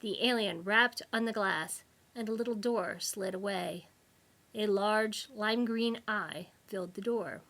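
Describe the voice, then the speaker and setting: A woman narrates a comic sci-fi novel as its author. Her voice is medium in pitch and notably bright and forceful. The delivery is wry and expressive. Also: unhurried. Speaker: author reading own book.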